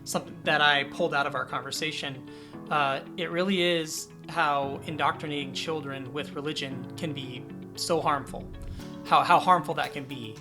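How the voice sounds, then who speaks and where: Male host, thirties, slightly high voice, casual spoken intros and questions for an interview podcast. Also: steady tone, moderate pace, music in the background. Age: 30s. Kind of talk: conversational interview hosting.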